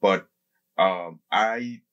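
A man in his thirties explaining things casually as a podcast guest, halting and circling back, at a close studio microphone. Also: low voice, a bit bright, highs cut off, faint music behind. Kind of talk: casual explanatory interview talk. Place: small indoor podcast studio. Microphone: desk microphone close up, with headphones.

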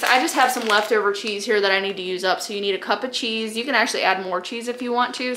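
Chatty woman in an echoey kitchen. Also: medium pitch. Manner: chatty, relaxed, many fillers and laughs. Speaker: homemaking channel host. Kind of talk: casual on-camera cooking chat. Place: home kitchen, indoors. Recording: echoey kitchen with faint noise.

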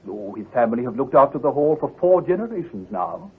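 A man's voice: mid-range voice, sing-song, brisk, slightly rough. A man playing an obliging, talkative country doctor in an old-time radio drama, speaking politely and formally. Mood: helpful, musing. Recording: tinny, muffled vintage radio recording.

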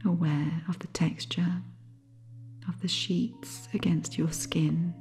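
A woman with a low, soft, breathy voice leads a guided sleep meditation. She keeps a very slow pace and a level, barely moving intonation. Her manner is gentle and soothing, with faint singing bowl music behind her. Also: little pitch movement.

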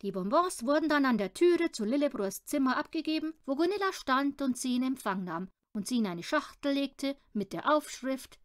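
A woman narrates a children's audiobook. Her voice is high, and her storytelling is expressive, with animated rises and falls. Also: moderate pace, few pauses. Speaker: audiobook reader.

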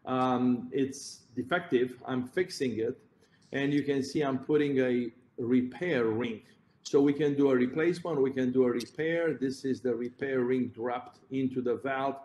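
A man's voice: mid-range voice, measured pace, darkish tone. A man with a Romanian accent, a heart surgeon giving a conference presentation, explaining step by step and correcting himself as he points at slides. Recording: roomy echo, faint background noise.

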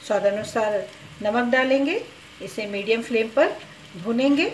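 Calm woman cooking host in roomy kitchen. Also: on the high side, moderate pace, slight background noise. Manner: calm, instructive, mixes English cooking words into Hindi. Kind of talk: step-by-step recipe narration.

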